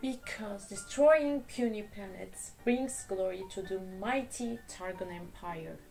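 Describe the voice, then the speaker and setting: A woman's voice: mid-range voice, slow, gravelly, a little mellow, soft background music, poor audio. A woman voicing a pompous alien empire chief who gets flustered when challenged, in a children's storybook read-aloud.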